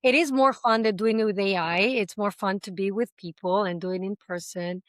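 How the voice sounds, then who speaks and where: A woman's voice: mid-range voice, fairly even intonation, moderate pace, dry recording. Passionate woman, dry close sound. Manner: passionate, talkative, uses everyday examples; speaks several languages. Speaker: language school founder, interview guest. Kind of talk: conversational interview answers.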